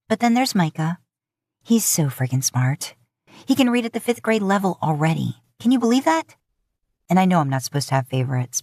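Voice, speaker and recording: A woman's voice: on the low side, darkish tone, no room sound, studio quality. A woman voicing a nervous, chatty young woman who wants to teach, earnest and breezy, in an audio fan fiction.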